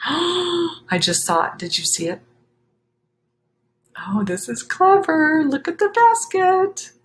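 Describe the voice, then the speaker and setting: Female children's story reader, fairly high voice, unhurried and dramatic, slipping into chatty asides. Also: very animated. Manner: dramatic, drawn-out phrasing with exclamations and gasps. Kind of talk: expressive picture book read-aloud with chatty asides.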